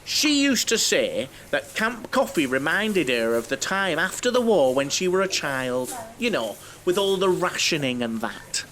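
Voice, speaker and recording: A guileless bachelor in a radio comedy, high-pitched and very animated. He rambles and overshares like a lonely mummy's boy, over faint ambient noise. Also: a little slow, few pauses, exaggerated voice, rough recording. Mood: reminiscing, rambling. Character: chatty, naive, lonely middle-aged bachelor, mother-obsessed coach passenger. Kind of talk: audio drama comedy acting.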